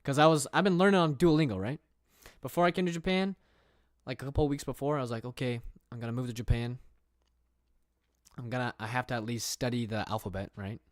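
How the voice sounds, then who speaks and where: Young guy from england just chatting on stream, lots of likes and restarts, mid-pitch voice, dry sound. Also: fast talker, no room sound. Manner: rambling, many fillers and restarts, reads viewer questions aloud. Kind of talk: casual livestream chat. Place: room.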